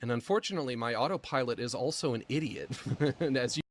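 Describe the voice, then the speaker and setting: A man speaking haltingly with a squeaky, mucus-filled voice and shortness of breath, often slowing down mid-sentence, with dry self-mocking humor. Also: lively intonation, no echo. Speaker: video creator describing his illness. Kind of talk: personal vlog monologue.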